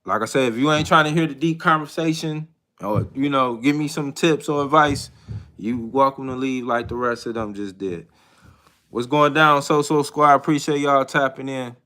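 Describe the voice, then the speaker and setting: A man in his thirties hosting a casual live chat with viewers, talking in a hesitant, reflective way with many pauses and restarts. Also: mid-range voice, pushed and bright. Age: 30s. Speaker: livestream host.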